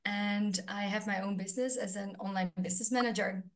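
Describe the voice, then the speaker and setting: A woman from the Netherlands, introducing herself as a podcast guest in a calm, matter-of-fact way. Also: mid-range voice, brisk. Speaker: guest, online business manager.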